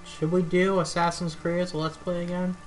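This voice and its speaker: A woman's voice: fairly low pitch, level tone, brisk, a little mellow, soft background music, poor audio. A woman chatting casually as a gaming streamer during co-op play. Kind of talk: casual co-op let's play chat.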